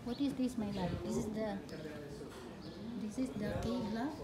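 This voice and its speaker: A woman chatting slowly in halting on a phone camera at an outdoor café terrace. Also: on the high side, steady tone, very noisy. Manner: slow, halting English with restarts. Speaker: vlogger. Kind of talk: casual travel vlog chat. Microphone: handheld phone camera. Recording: loud music and crowd behind.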